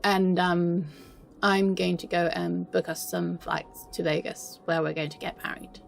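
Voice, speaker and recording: A woman playing a flustered, smitten, playfully scheming best friend in an intimate romantic audio roleplay, speaking in halting, stammering bursts. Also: fairly low pitch, fairly even intonation, gravelly, a little hiss or hum. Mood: giddy, tender.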